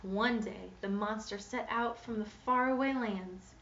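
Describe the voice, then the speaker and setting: A woman giving an expressive, interactive children's read-aloud in a teacherly way, putting questions to young listeners. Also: mid-range voice, a little slow, far from the mic. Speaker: teacher reading a picture book aloud. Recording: echoey room, muffled, noisy background.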